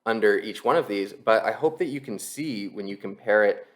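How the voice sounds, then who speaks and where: A man's voice: on the low side, lively intonation, moderate pace. A man presenting an explanatory screen-share tutorial as an instructor, talking conversationally and explaining things step by step.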